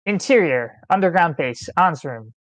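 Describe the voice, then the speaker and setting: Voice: mid-range voice, animated, normal speed, a bit bright, no echo. Stage-direction narrator: terse dramatic phrasing, scripted table read, close dry sound. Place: online meeting, close dry mic.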